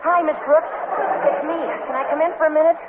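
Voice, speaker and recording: A man playing a brash, squeaky adolescent schoolboy in a 1950s radio comedy. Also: fairly even intonation, fast, over a noisy crowd. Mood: brash, indignant. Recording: tinny, hissy 1950s radio broadcast.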